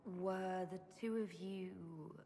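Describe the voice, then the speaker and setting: A composed leader in a fantasy video game. She sounds earnest and measured, on the lower side. Her voice carries long reverb over faint noise. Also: fairly even intonation, mellow. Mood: gentle concern. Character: composed, inquisitive female leader of a fantasy order. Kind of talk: dramatic video game dialogue.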